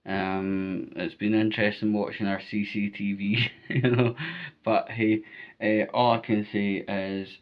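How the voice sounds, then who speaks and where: A man's voice: on the low side, flat delivery, a little slow, muffled recording. A Scottish man giving informal, emphatic advice to viewers, repeating his points and often checking in with "right?". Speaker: vlogger giving storm safety advice.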